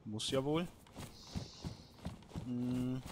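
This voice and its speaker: Male let's player, medium pitch, casual thinking-aloud commentary, noticeably noisy recording. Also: drawn-out words. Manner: colloquial, musing to himself. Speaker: gamer, let's play host.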